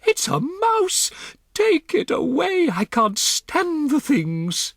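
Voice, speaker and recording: A man voicing a snobbish, fussy woman who shrieks in a high, strained voice. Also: huge pitch swings, takes it slowly, gravelly, bright, forceful tone, husky, no echo, clean studio sound, full character voice. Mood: shrieking. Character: shrill, snobbish woman, hotel guest, fussy.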